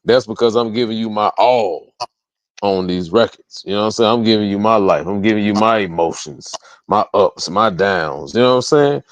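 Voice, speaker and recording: Deep voiced guy talking motivational in an interview, slangy, repeats himself for emphasis, dry sound. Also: moderate pace, no echo. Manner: emphatic, repetitive, slangy, peppered with tag questions. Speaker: guest, independent music artist. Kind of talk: motivational interview talk, casual.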